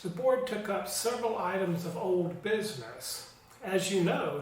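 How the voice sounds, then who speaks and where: Matter-of-fact man in a very echoey room. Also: a little high. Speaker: church board member giving a meeting recap. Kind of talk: scripted informal video report. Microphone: distant microphone. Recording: very echoey room, distant mic, faint noise.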